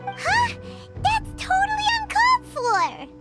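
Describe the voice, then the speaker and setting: Super high squeaky little fairy girl, swooping all over. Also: takes it slowly, music in the background, full character voice. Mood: excited. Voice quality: squeaky, animated. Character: tiny floating female fairy companion, excitable. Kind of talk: video game voice acting.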